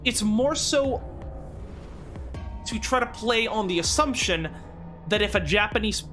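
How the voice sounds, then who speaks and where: A man's voice: sits high, expressive, a little slow, bright, forceful tone, soft background music. A man hosting a let's play, talking casually with frequent swearing, stammering restarts and laughter. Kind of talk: casual gameplay commentary.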